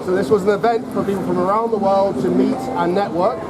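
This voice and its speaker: A high-pitched man from Hong Kong tells his own story in a halting, measured way. He is speaking outdoors over loud urban traffic noise. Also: steady tone. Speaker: guest speaker, honorary lecturer. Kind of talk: personal account to a street gathering. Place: outdoors in an urban public space. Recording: very noisy outdoor street with traffic.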